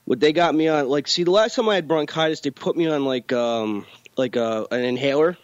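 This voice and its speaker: A congested young man co-hosting a casual podcast, rambling through fillers and loose asides. His voice sits in an ordinary middle pitch. The dry, slightly dull recording has no room sound. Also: brisk, dry recording. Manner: rambling, filler-heavy, laid-back. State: congested with bronchitis. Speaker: podcast co-host. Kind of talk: casual chat.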